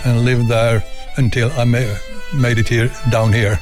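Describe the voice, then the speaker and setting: An older Swedish-accented man speaks in a calm, plain way and pauses now and then to find the right English word. He is recorded indoors in a home library at a medium pitch. Faint music plays behind him. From Sweden. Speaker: interviewee, retired army officer. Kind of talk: casual chat at home.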